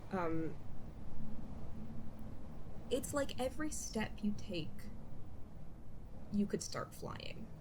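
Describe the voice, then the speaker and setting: Woman playing a slow-talking, playful young childhood friend. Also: a little high, noisy background. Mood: flustered, earnest. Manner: halting, rambling, casual speech with teasing bossiness. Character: playful, bossy, sentimental adult childhood friend. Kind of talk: improvised roleplay audio, casual and intimate.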